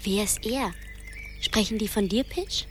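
A woman's voice: medium pitch, a little slow, fairly bright tone, faint music behind, natural voice. An actress playing a feisty little girl in a radio play, cheeky and childlike. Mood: curious. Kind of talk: German radio play acting.

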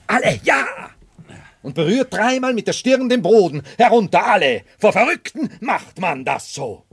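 A man's voice: a little high, vocal fry, husky, no echo, heavily acted. An actor playing a bitter, mocking nobleman who feigns madness in a radio play, theatrical and scornful. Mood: wild, commanding. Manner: theatrical, scornful, swinging from brooding to commanding outbursts. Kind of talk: 1970s German radio play acting.

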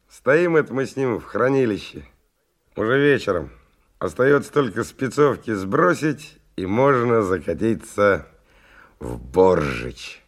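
Radio drama lead: streetwise young lab hand, frank, wry, confiding, very lively melody in the delivery. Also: male voice, medium pitch. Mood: casual, weary. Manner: colloquial, confiding, musing. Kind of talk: first-person radio play monologue.

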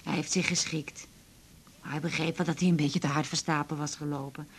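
An actress playing a wistful, plain-spoken woman confidante in a naturalistic Dutch radio drama, husky and questioning. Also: low voice, fairly even intonation, a little fast. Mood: tentative, wistful.

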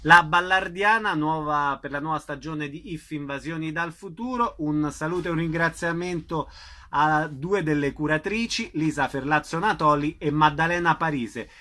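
Radio host, man, higher voice, bright edge, brisk and polite. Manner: brisk, courteous, wraps up segments. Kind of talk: radio interview.

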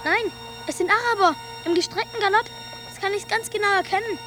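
A woman playing an excited boy adventurer on lookout, earnest and dramatic, in a radio play. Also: very high-pitched, a little fast. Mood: watchful. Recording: music behind the voice.

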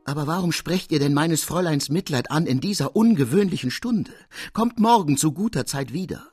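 Man putting on an indignant chambermaid, pitched up. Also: lively intonation, normal speed, darkish tone, breathy edge, dry recording, faint music behind. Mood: firm, reproachful. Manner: scolding, emphatic, questioning. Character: indignant, protective female chambermaid refusing a night caller. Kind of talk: literary audiobook character reading.

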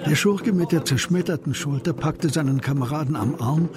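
A man narrating a crime radio play as scripted literary storytelling, in a measured manner. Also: on the high side, loud music behind the voice.

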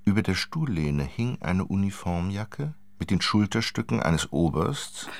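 Narrator for radio drama: man with a very low, gravelly voice, animated literary storytelling, slight background noise. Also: a little slow, no echo.

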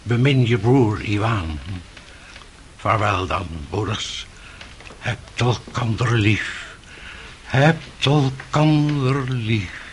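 An actor playing a gentle, dying old monastery elder in a radio drama, solemn and fatherly. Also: mid-range voice, extremely slow, a little hiss or hum. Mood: solemn, tender. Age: older.

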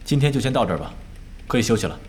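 An actor playing a composed, authoritative esports team captain in an audio drama, speaking in a measured, serious manner. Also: male voice, mid-range voice, very animated, slight background noise, natural voice. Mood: calm, serious. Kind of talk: Chinese audio drama acting.

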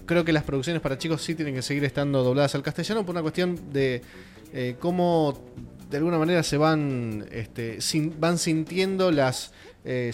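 A man with an Argentine Spanish accent, co-hosting a casual radio panel and explaining at length with many fillers. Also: a little high. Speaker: radio show host.

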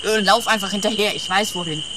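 A curt, exasperated companion in a radio comedy, played by an actor. The delivery is dry and terse, over clear night noise of insects and owls. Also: medium pitch, fast talker, close to an everyday voice. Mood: brisk, curt. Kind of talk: comedy radio play acting.

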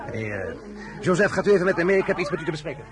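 A man's voice: a little high, very animated, rapid-fire. A man playing an impatient, commanding emperor in a radio drama, curt with his court. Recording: noisy, busy crowd behind, clicks.

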